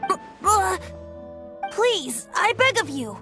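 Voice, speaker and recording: Boyish little bard for a game, high lively voice, cheeky n grandiose, faint music behind. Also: female voice, slow pace, full character voice. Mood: pleading. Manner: theatrical, playfully grandiose. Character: cheeky boyish young bard, secretly a wind god. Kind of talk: video game character acting.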